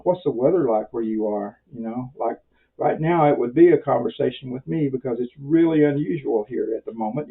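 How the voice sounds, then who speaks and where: Casting: male hobby instructor, medium-pitched, folksy rambling delivery with halting pauses, heard through muffled lo-fi audio. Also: breathy edge. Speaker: amateur radio instructor. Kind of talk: informal tutorial for beginners.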